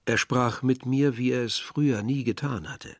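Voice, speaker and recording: An actor playing a reflective first-person narrator of a village youth, measured and pensive in a literary radio play. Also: male voice, mid-range voice, no echo, clean studio sound, close to an everyday voice.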